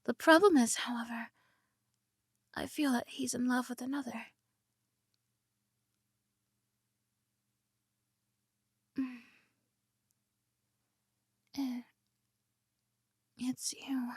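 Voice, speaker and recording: A woman voicing a flustered tsundere tomboy princess in an ASMR roleplay, stammering and slipping from soft whispers into indignant protests. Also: on the high side, fairly even intonation, takes it slowly, long pauses, husky, no echo, studio quality, exaggerated voice. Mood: shy.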